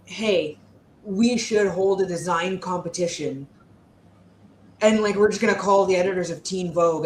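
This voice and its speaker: A woman co-hosting a casual book discussion, speaking in slangy, incredulous bursts with stop-and-start emphasis. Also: on the low side. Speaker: co-host of a book readalong live show. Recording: roomy echo, faint background noise.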